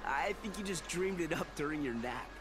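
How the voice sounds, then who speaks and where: An actor playing a friendly, joking young village man in a video game, relaxed and amiable. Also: fairly high pitch, normal speed, music in the background, lightly acted. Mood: friendly concern. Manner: relaxed, amiable, lightly teasing. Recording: clear ambient noise behind.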